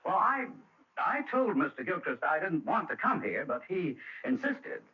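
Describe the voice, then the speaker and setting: An actor playing an awkward, apologetic school official in a radio drama, hesitant and formal with a stammer. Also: male voice, high-pitched, very animated, normal speed, slight background noise. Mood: nervous, apologetic. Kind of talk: old radio drama acting. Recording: dull, distant old radio recording.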